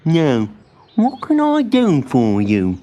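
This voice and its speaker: A woman's voice: deep voice, huge pitch swings, takes it slowly, mellow, lo-fi sound, voice pushed up, exaggerated voice. A woman voicing a fussy, absent-minded old male mole in a storybook, muttering and drawing out the words. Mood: muddled. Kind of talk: storybook character voice.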